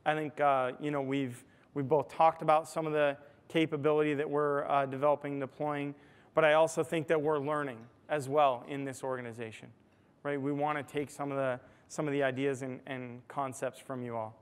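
A man's voice: on the high side, flat delivery, brisk, smooth. An American man giving a conversational conference keynote, explaining points and often checking in with "right?", recorded on a lapel mic in a large ballroom. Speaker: technology executive giving a keynote. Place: large hotel ballroom stage, indoors. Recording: reverberant hall, amplified stage sound.

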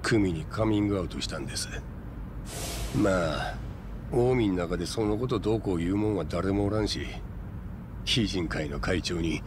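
A tough, sly middle-aged male yakuza from a game cutscene, played by a male actor. He has a low voice, a Kansai accent and a drawling, insinuating politeness. Car noise is clear behind him. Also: normal speed, natural voice. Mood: dry, explanatory. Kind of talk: video game cutscene acting. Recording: noisy vehicle ambience.

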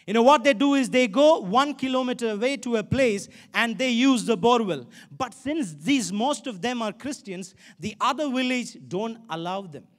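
High-pitched Indian male preacher. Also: fairly bright tone. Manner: emphatic, exhortatory, repeats phrases for stress. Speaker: pastor. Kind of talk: sermon. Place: large stage in an indoor auditorium. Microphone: handheld microphone.

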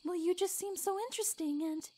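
A woman's voice: very high-pitched, brisk, mellow. A woman voicing a shy, kind, curious young pony in a cartoon, hesitant and sweet. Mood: timid, curious. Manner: timid, hesitant, apologetic, sweet. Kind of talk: animated fan drama acting. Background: background music.

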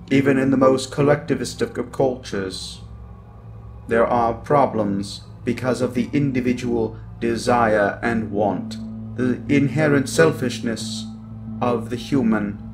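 A man playing a cold, menacing evil robot in a scripted ASMR roleplay, deliberate, with stuttering glitched repeats of syllables and words. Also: medium pitch, a little mellow. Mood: grandiose menace.

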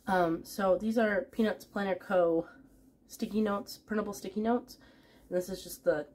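A woman's voice: lowish voice, darkish tone, a little hiss or hum. A young woman recovering from a cold, chatting casually through a planner flip with lots of ums and restarts, recorded at home. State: getting over a cold. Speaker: planner vlogger. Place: home, at a desk.

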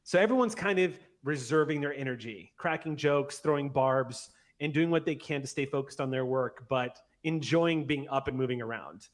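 A man of about forty runs a tabletop roleplay session. He narrates in a measured, deliberate manner with a mid-range voice, speaking close to a desk microphone. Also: mid-range voice. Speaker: game master. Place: indoor room at home, on a video call. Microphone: close desk microphone on an arm.

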